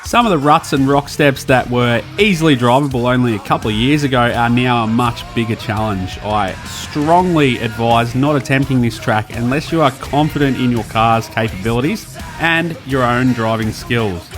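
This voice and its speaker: An easygoing Australian man talks his way through a four-wheel-drive outing, laughing often and keeping it casual. His voice sits at an ordinary middle pitch. Steady background music runs under him. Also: moderate pace. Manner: laughs easily, chatty. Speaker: vlogger leading a 4WD trip. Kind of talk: casual chat and narration.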